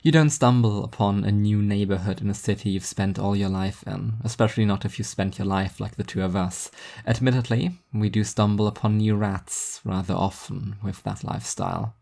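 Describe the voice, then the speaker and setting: A man's voice: on the low side, steady tone, normal speed, creaky, mellow. A man reading first-person fiction narration in an introspective, wry manner.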